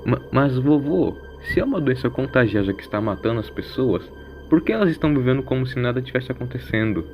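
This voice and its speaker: A man's voice: mid-range voice, lively intonation, a little fast, voice pushed up, lightly acted. Audio-story girl, acted by a male narrator: earnest, idealistic granddaughter, dull recording with faint music. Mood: disbelieving. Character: young granddaughter, earnest, shocked, hopeful.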